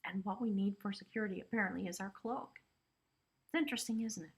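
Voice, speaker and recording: A woman's voice: medium pitch, steady tone, quick pace, husky. A woman giving a casual spiritual tarot reading, talking chattily with lots of "you know" and "right?" asides. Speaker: tarot reader.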